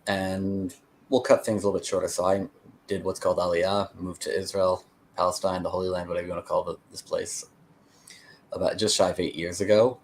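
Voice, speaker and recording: A man's voice: deep voice, flat delivery, a little fast, slight background noise. An American man in conversation as a guest, telling his own story in a rambling, explanatory way. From United States (Connecticut). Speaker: interview guest, peace activist.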